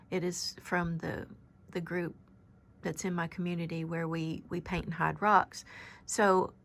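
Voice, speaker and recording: A woman in her fifties with a very soft, somewhat low voice. She talks gently and unhurriedly as she gives an oracle card reading, pausing and restarting as her thoughts come. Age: 50s. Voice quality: very soft-spoken. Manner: gentle, halting, with frequent pauses and restarts. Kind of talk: unscripted spiritual card reading.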